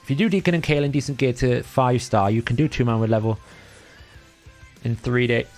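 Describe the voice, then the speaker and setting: A man's voice: mid-range voice, steady tone, fairly quick, a little mellow, soft background music. A Welsh man whose first language is Welsh hosts a live game stream, thinking aloud in a chatty way and often correcting himself. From Wales. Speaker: livestream host. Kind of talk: casual gameplay commentary.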